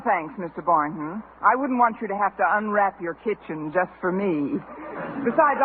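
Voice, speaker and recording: An actress playing a wry, quick-witted schoolteacher with dry wisecracks in a 1950s radio sitcom. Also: female voice, medium pitch, close to an everyday voice. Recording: noisy 1950 radio broadcast, narrow band, audience.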